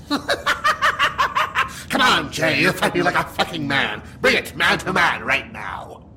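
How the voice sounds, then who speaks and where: Villain for a male actor: cocky, sadistic crime lord for audio drama, bright forceful delivery, highly animated, faint background noise. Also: slow pace, gravelly, heavily acted. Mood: aggressive taunting. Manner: theatrical, menacing, punctuated by mocking laughs.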